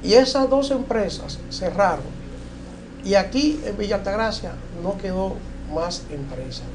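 A man's voice: high-pitched, expressive, unhurried, background noise. An older man, hosting a TV interview and asking questions in a small studio. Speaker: interview host.

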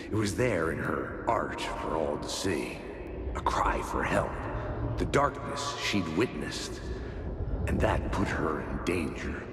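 An actor voicing a brooding hardboiled detective, narrating a murder case slowly and ominously in a video game. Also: male voice, rumbling low, lively intonation, noisy background, full character voice. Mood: grim. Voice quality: gravelly, breathy at times. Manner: slow, ominous, poetic. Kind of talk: noir scripted narration. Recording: loud music behind the voice.

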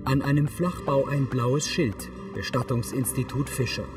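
A man's voice: medium pitch, steady tone, moderate pace, music in the background. A man reading scripted audio description in the role of narrator.

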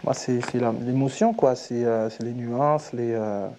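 Mellow-voiced man, hesitant talk. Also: mid-range voice, steady tone, measured pace, poor audio. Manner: hesitant, many fillers. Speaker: zouk singer. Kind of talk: relaxed interview talk. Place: rehearsal room with drums.

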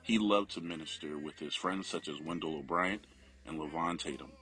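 A man's voice: extremely low pitch, moderate pace, pushed and bright, poor audio. A man reading a scripted memorial biography of a family member.

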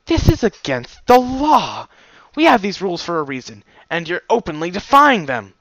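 Angry, defiant unicorn mare guard with a high, pushed voice done by a man; bright tone; dry lo-fi recording. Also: sing-song, moderate pace, no room sound, full character voice. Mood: outraged. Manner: angry and defiant, then faltering.

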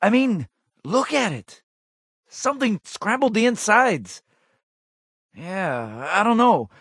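Young marine grunt in a shooter game, high strained voice, bright and forceful, gutsy but on edge. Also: male voice, sing-song, slow, breathy edge, no echo, studio quality, exaggerated voice. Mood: tense, uneasy. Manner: urgent, clipped military delivery. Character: gutsy, anxious young male Marine soldier in battle. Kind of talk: video game combat voice lines.

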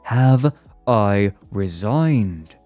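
A man's voice: mid-range voice, huge pitch swings, a little slow, darkish tone, faint music behind, pitched above the performer's own voice. A man voicing an aged, impatient research director in an audiobook, stiff and insistent. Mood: anxious. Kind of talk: audiobook character voice.

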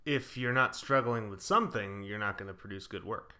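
A man's voice: lowish voice, a little fast, pushed and bright. A man co-hosting a podcast, chatting casually with a guest. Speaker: podcast co-host. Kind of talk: casual chat.

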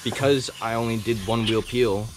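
A man's voice: medium pitch, steady tone, moderate pace, rough recording. A man in his twenties vlogging about car repairs in a casual, slangy, sweary way, recorded on a handheld camera. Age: 20s. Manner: sweary, slangy, laid-back banter. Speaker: host of a car DIY vlog. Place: driveway and home or workshop garage. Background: music.

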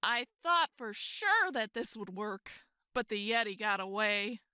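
A woman reading a children's picture book aloud in an expressive, exclaiming way with drawn-out emphasis. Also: mid-range voice, clean tone, no echo, clean studio sound. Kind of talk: animated children's read-aloud.

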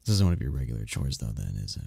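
Man acting a deep, gravelly seductive demon prince. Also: very animated, mellow, dry recording, exaggerated voice. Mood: intrigued. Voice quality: gravelly, husky, often breathy whisper. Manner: slow, purring, theatrical, commanding. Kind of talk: ASMR romance roleplay.

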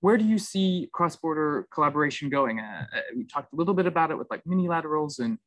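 A man's voice: fairly high pitch, expressive, fast talker, dry recording. A young man moderating an online panel over video call, asking loose questions with fillers.

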